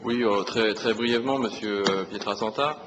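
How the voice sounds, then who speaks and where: Male councillor, low voice, halting impromptu debate remarks, conciliatory, reverberant room. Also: lo-fi sound. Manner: hesitant, repeats words, conciliatory. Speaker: opposition city councillor. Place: large council meeting room. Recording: reverberant hall with faint noise.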